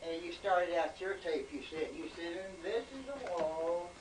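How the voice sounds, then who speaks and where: Woman in her seventies, folksy and teasing, slightly low voice, amateur home recording with some noise. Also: expressive, normal speed. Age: 70s. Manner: folksy rural speech, teasing. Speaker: grandmother being filmed at home. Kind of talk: casual chat. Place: small home kitchen, indoors. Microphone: camcorder microphone. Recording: noisy amateur home video.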